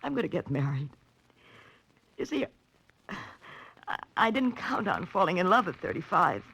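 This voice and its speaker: A woman's voice: lowish voice, sing-song, a little slow, gravelly, a bit bright, husky, full character voice. A woman playing a guarded, desperate woman in her thirties in a 1940s radio melodrama, with a dramatic delivery. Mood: anguished pleading. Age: 30s. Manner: dramatic, haughty turning to pleading. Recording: faintly noisy vintage 1940s radio recording.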